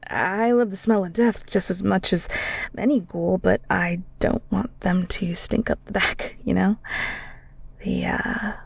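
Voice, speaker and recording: A woman acting in an intimate audio roleplay as a bashful, kindhearted, bungling young ghoul cook, flustered and stammering, with a breathy voice that often drops toward a whisper. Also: mid-range voice, no echo, exaggerated voice. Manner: flustered, stammering, giggly, rambling.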